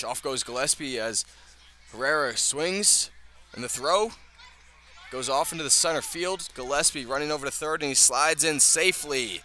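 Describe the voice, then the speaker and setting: A man's voice: medium pitch, bright, forceful tone. A man calling baseball play-by-play live on radio in a conversational way, with casual asides. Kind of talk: live radio sports commentary. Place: outdoor ballpark. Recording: clear ambient outdoor noise.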